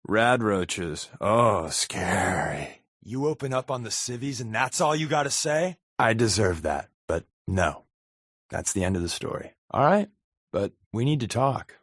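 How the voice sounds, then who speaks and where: An actor playing a wry, wisecracking undercover agent in a video game, with a sarcastic, deadpan, conversational delivery. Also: male voice, lowish voice, measured pace, husky, dry recording, studio quality, full character voice. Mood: playful, joking, then stern. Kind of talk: video game companion dialogue.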